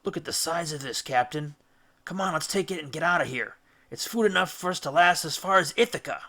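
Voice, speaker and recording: A man voicing an eager, excitable sailor in a children's storybook, urging his shipmates on. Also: a little high, brisk, heavily acted. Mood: greedy urging. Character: eager, excitable male Greek sailors of the crew.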